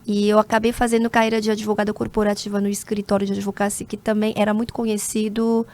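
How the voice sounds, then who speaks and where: Measured Korean-born woman in her forties. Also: medium pitch, flat delivery, darkish tone. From South Korea. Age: 40s. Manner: measured, with frequent hesitation fillers. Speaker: interview guest, corporate lawyer. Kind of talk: conversational interview.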